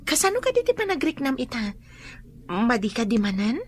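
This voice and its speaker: A woman's voice: fairly high pitch, big pitch swings, a little fast, a little hiss or hum. A woman playing a friendly, teasing young student in an Ilocano radio drama. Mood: supportive.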